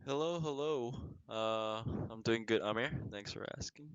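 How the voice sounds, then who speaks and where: Quiet, smooth-voiced man in his twenties. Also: medium pitch, moderate pace, no room sound. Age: 20s. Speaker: podcast co-host. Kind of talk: casual podcast chat. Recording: dry, dull lo-fi mic.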